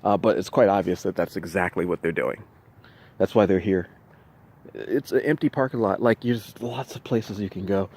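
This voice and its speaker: A man with a fairly low voice talks off the cuff to his camera. He speaks haltingly, often doubling back on his phrases and repeating them. His delivery is insistent and emphatic, as someone venting about his own experiences. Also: darkish tone. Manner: halting, repetitive, with restarted phrases. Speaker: vlogger recounting personal experiences. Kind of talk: unscripted video monologue, venting to camera.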